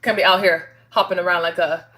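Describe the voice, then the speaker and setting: A woman hosting a casual livestream, chatting to her viewers in an animated, giggly, slangy way. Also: on the low side, fairly quick. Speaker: livestream host reading and answering viewer chat.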